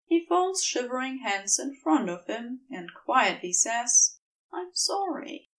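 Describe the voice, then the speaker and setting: A woman narrating a scripted story in a measured, expressive storytelling manner, recorded a little back from the mic. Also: fairly high pitch, recorded from a distance, rough recording. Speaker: fan fiction audiobook reader.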